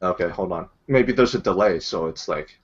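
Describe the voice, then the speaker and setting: A man's voice: deep voice, moderate pace, husky. A man chatting casually as a guest on a stream, a little flustered and repeating himself. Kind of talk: casual chat. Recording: dull voice call audio.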